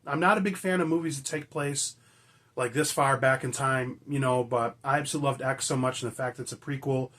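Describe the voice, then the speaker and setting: A raspy man, hoarse from being sick, from Michigan, chatting enthusiastically and rambling as a movie-collecting vlogger. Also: mid-range voice, steady tone, a little fast, a bit bright. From Michigan, USA. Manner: enthusiastic, rambling, frequent fillers and mild swearing. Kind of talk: casual chat to camera.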